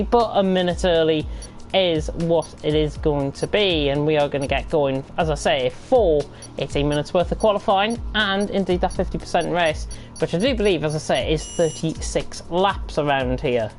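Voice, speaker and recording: Croaky, rambling woman commentator. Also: sits low, normal speed, soft background music. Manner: rambling, repeats "as I say", self-correcting. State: croaky with flu. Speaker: race commentator. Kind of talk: live sim-racing commentary.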